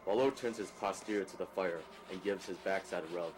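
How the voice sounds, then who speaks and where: A man's voice: deep voice, a little fast. A man narrating a drama script, reading out scene action as scripted narration. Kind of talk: scripted narration of stage directions. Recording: clear background noise.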